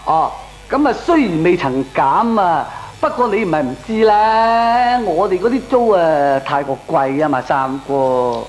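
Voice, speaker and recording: A man's voice: a little high, expressive, a little slow, poor audio. An actor playing a fussy, jovial older male tenant with a Hong Kong Cantonese accent in a comic radio drama. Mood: complaining. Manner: fussy, chuckling, repeats himself.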